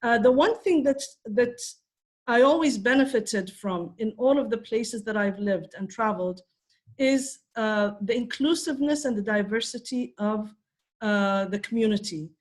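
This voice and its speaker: A woman's voice: on the high side, moderate pace. A woman in her fifties from Ethiopia, giving a conversational talk on a panel with frequent fillers. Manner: conversational, many fillers and restarts. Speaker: conference speaker.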